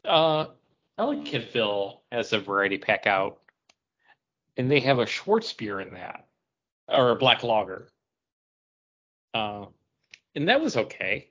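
A man's voice: fairly low pitch, sing-song, unhurried, pauses often, fairly bright tone. A man hosting a podcast in a casual, chatty style. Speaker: podcast host. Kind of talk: casual chat. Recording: dull lo-fi audio.

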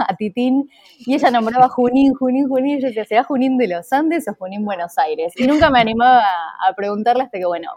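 An Argentine woman talks casually as a podcast guest, friendly and quick to laugh. Her middle-pitched voice is recorded dry and close, with no room sound. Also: a little mellow, no echo. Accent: Argentine Spanish. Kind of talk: casual interview chat.

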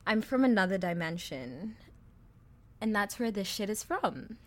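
A South African woman in her twenties talks openly on a podcast, with a mild South African accent. She is blunt and crude in a relaxed, joking way, and she breaks into laughter often. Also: mid-range voice, unhurried, slight background noise. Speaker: podcast co-host. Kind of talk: casual chat.

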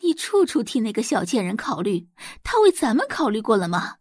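Woman voicing a bitter scolding middle-aged mother, high-pitched. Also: big pitch swings, fairly quick, a little mellow, no echo, studio quality. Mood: scornful, indignant. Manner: indignant, scolding.

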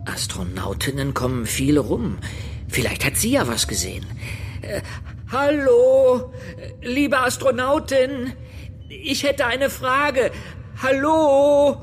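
A man playing a small, gentle, polite star man in a children's audio play, hesitant and a little worried. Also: very high-pitched, expressive, slow pace, a little hiss or hum, full character voice. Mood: hopeful, hesitant.

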